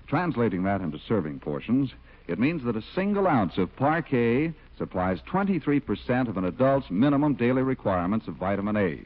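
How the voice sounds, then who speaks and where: Animated vintage male radio announcer. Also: mid-range voice. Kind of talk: 1940s radio announcing, scripted. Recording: dull vintage 1940s radio recording.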